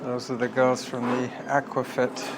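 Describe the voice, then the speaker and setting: A man talking casually and a little haltingly, as in everyday conversation. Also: mid-range voice, monotone, heavy background noise. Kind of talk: casual chat, hesitant vlog-like talk.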